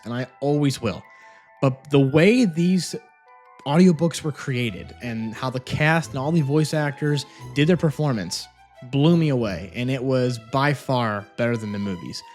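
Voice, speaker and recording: A man giving an enthusiastic, casual review as a vlog host, talking into a microphone in a home office. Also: medium pitch. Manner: enthusiastic, gushing. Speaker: audiobook review video host. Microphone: separate microphone with phone camera. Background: music.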